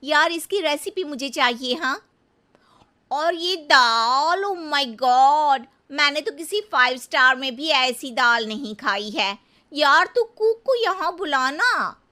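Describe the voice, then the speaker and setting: Woman acting an excitable, high-voiced young party guest. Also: slow, exaggerated voice. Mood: delighted, gushing. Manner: gushing, exclamatory. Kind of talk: cartoon moral-story acting.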